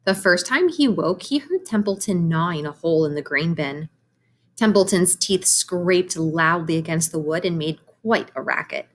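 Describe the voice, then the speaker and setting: A woman reads a children's story aloud in the manner of a teacher. Her delivery is animated and explanatory, and she pauses to talk directly to young listeners. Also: on the low side. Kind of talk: expressive storybook read-aloud with asides to children.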